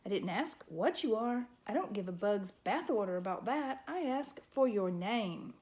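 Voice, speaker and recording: A woman's voice: mid-range voice, expressive, normal speed, darkish tone, close to an everyday voice. Woman voicing Ereth, a cranky old porcupine. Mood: scornful. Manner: bellowing, screeching insults. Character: grumpy old male porcupine, scornful and loud.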